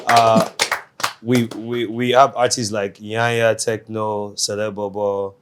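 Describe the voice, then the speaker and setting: A man's voice: on the low side, flat delivery, slow, noisy background. Chatty Nigerian man in his thirties. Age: 30s. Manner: chatty, teasing, laughs often. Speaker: guest. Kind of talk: casual chat.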